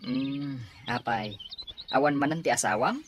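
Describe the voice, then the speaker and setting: A man's voice: medium pitch, unhurried, slight background noise, lightly acted. A man with a Filipino accent playing a shy, polite married farmer in an audio drama. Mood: friendly surprise. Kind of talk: Ilocano audio drama acting.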